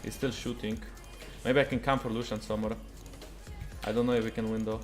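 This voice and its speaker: A man's voice: lowish voice, flat delivery, brisk, soft background music. A man with a Polish accent giving casual live gameplay commentary as a streamer. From Poland. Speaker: video game streamer.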